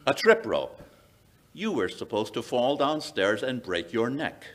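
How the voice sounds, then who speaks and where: Casting: actor as coolly deductive male detective for old radio drama, medium-pitched and authoritative. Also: big pitch swings, measured pace. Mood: cool, commanding. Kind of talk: 1940s radio acting.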